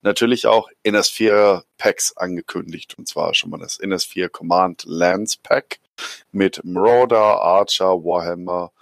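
A hoarse man on a podcast, talking in a rough, gravelly register that sits a little low. He rambles with eager excitement and drops jokes along the way. The sound is close and dry. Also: measured pace, no room sound. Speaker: podcast co-host. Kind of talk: enthusiastic casual chat.

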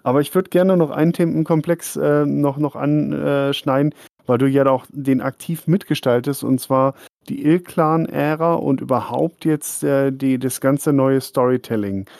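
A man's voice: mid-range voice, steady tone, measured pace, gravelly, darkish tone, no echo, studio quality. A man hosting a casual hobby podcast, chatty and rambling, often laughing. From Germany. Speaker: podcast host. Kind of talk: casual chat.

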